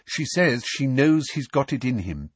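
A man's voice: mid-range voice, sing-song, measured pace, slightly rough, no room sound, voice pushed up. A man voicing a plucky, matter-of-fact younger teenage girl in an audiobook, offhand and confident.

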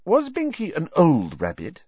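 A man's voice: a little high, wildly swooping pitch, unhurried, breathy edge, dry recording, lo-fi sound, voice pushed up, exaggerated voice. A man voicing an earnest, logical teenage schoolgirl in a storybook, questioning in a hesitant yet insistent way. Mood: timid, concerned.

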